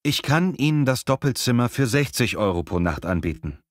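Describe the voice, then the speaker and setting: A man's voice: medium pitch, sing-song, fairly quick, dry recording, clean studio sound, natural voice. A man playing a polite, formal hotel receptionist in a scripted language-lesson dialogue.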